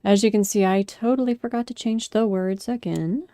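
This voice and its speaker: A woman's voice: medium pitch, normal speed, dark tone, no room sound, studio quality. A woman talking casually over her sculpting video, chatty and apologetic, a little congested. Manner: apologetic, chatty, with fillers and self-corrections. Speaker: sculpting vlogger. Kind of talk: casual spoken commentary over a craft video.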